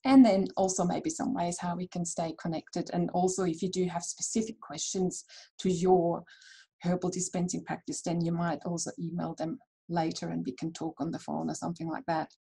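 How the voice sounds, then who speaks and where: A woman's voice: fairly low pitch, level tone, moderate pace, vocal fry, dark tone, husky. A woman from Switzerland presenting a webinar over a video call, in a friendly, chatty way with fillers and restarts. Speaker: herbal dispensary founder presenting a webinar.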